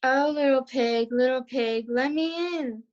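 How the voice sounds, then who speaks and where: A woman's voice: high voice, measured pace. A woman voicing a huge, aggressive storybook wolf in an amateur script read, with muffled call audio. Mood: coaxing, loud.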